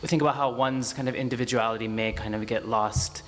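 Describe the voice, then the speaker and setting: A man's voice: medium pitch, steady tone, fast talker, a bit bright. Young guy moderating, mid voice, fumbles words, stand mic with gallery echo. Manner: searching, restarts words mid-question. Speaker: panel moderator. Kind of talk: moderating a panel. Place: indoor art gallery. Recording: reverberant gallery, faint hiss.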